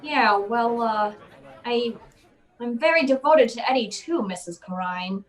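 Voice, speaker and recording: A tough, world-weary female private eye as a woman acts her, with a fairly high voice. She is wry and commanding, in the manner of old radio detective drama. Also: animated, a little slow, some chatter behind, poor audio.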